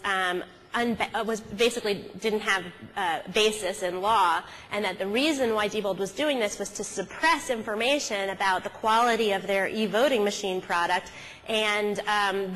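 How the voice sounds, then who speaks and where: A woman speaking as a keynote speaker at a conference, telling case stories conversationally with halting self-corrections, from a podium on an auditorium stage. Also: medium pitch, a bit bright. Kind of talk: conference talk, conversational storytelling. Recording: hall reverb from stage sound system.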